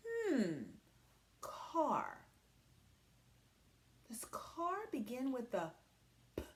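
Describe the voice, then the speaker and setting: A woman teacher leading a read-aloud lesson for young children, speaking patiently and pausing after her questions. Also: high-pitched.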